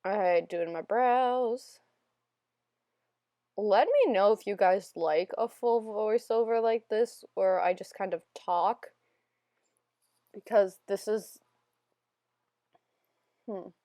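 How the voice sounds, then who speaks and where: A young woman narrating a makeup tutorial in a casual, self-deprecating, rambling voiceover, her voice still a little hoarse from being sick. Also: medium pitch, slow, long pauses, dark tone, no room sound. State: slightly hoarse, recovering from illness. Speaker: beauty vlogger.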